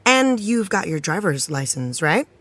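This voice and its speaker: A woman's voice: on the low side, very animated, a little slow, fairly bright tone, no room sound, full character voice. A woman playing a confident, direct TV actress in an audio drama. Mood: friendly, upbeat. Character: confident, friendly TV actress running lines.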